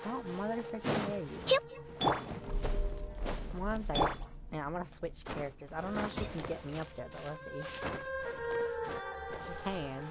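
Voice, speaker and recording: A woman's voice: on the low side, big pitch swings, normal speed, pauses often. A woman giving chatty, slangy live gameplay commentary, often talking to the game. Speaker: game streamer. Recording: game music behind the voice. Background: video game music.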